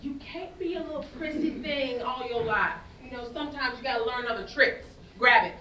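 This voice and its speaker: Actress as a swaggering high-voiced gun-toting woman, echoey room. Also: fairly quick, sharp tone. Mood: coaxing, commanding. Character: bold, swaggering gun-toting Southern woman, teasing and tough. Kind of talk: staged play reading. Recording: roomy, distant stage recording with faint noise.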